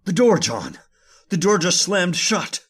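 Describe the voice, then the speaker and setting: A man acts out a stubborn, commanding gentleman from an old-time radio horror play. His pitch swings widely as he gives impatient orders in formal, old-fashioned phrases. He sounds stern and fully in charge. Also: big pitch swings, takes it slowly, slight background noise, full character voice. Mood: alarmed. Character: Mr. Winton, stern, stubborn master leading a haunted-house search.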